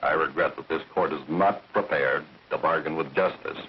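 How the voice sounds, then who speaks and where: An actor playing a stern judge in a 1930s film, speaking in a formal, measured way. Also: male voice, rumbling low, breathy edge, heavily acted. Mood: stern, official. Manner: formal, measured, pronouncing rulings. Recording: dull 1930s film soundtrack.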